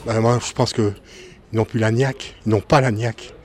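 A man from northern France, a former cook, giving frank opinions slowly with hesitations. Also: lowish voice, fairly even intonation, a little mellow. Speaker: interviewed resident, former cook. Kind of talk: street interview.

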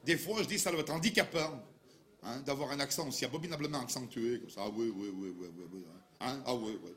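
Man as rustic old Dauphinois grump, thick Dauphinois accent, fast stammering rant, comic sketch. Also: mid-range voice, expressive, fairly bright tone, natural voice. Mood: grumbling. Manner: rambling, stammering repetitions, mock indignation.

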